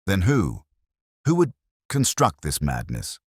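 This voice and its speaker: A man voicing a frail, bewildered dentist with a hoarse, formal way of speaking, in an audiobook mystery. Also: sits low, huge pitch swings, slow, darkish tone, dry recording, clean studio sound, voice pushed up, heavily acted. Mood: weary, bewildered. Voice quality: hoarse, croaking. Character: frail, bewildered male dentist, an anxious scapegoat.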